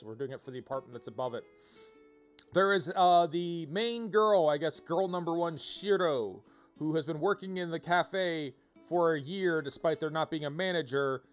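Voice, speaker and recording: A man giving casual livestream commentary to viewers, thinking out loud in an informal, rambling way and often rephrasing himself. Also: a little high. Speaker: video game livestreamer, host. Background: music.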